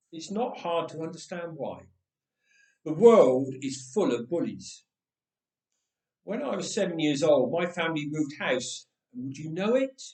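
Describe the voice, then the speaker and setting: Male story reader, lively and slightly high-pitched, dramatic pauses and drawn-out phrases, recorded roomy and a little distant. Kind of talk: expressive read-aloud storytelling. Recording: echoey room, distant microphone.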